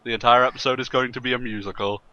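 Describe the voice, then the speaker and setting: A man's voice: medium pitch, steady tone, very fast, sharp tone. A man joking crudely and swearing as he chats casually as a co-host on a group podcast. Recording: dull, muffled voice-call microphone.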